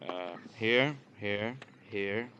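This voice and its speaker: A faintly noisy old radio recording of a sly police detective. His low American voice takes its time, halting and teasing as he methodically lays things out. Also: bright, forceful tone, dull audio, exaggerated voice. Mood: methodical, leading. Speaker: actor in radio crime drama. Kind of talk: 1950s American radio acting.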